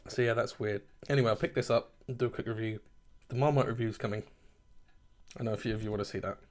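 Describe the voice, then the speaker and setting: A man's voice: on the low side, fairly even intonation, fast. A man in his thirties chatting casually to camera in a kitchen at home, rambling a little and laughing at himself as he reviews a beer.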